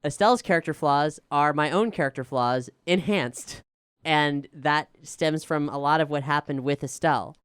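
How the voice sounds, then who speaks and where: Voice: medium pitch, moderate pace, a bit bright, clear, resonant tone, dry recording, clean studio sound. A showrunner and cast member telling stories in a reflective, expansive way on a casual Q&A panel. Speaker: showrunner, writer and cast member of an audio drama.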